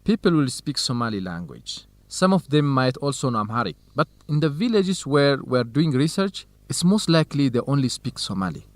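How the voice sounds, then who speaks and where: A man's voice: medium pitch, big pitch swings, moderate pace, hardly any pauses, no room sound. Casting: knowledgeable young male researcher, mid-range voice, explains simply, close dry radio recording. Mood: helpful. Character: Khalid, helpful, knowledgeable young Ethiopian man researcher. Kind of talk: scripted educational radio drama dialogue.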